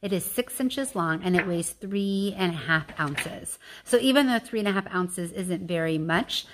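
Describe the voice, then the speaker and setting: A woman's voice: lowish voice, expressive, moderate pace, a little hiss or hum. A woman reading a children's picture book aloud like a teacher, explaining things with informal asides and questions to her listeners.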